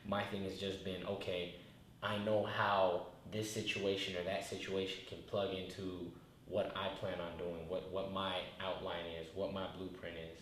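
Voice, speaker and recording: A man's voice: deep voice, little pitch movement, normal speed, a bit bright. Laid-back young man in an echoey room. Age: 20s. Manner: laid-back, rambling, many restarts and fillers. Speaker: rapper, interview guest. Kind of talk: casual reflective interview talk. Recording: very echoey room, faint noise.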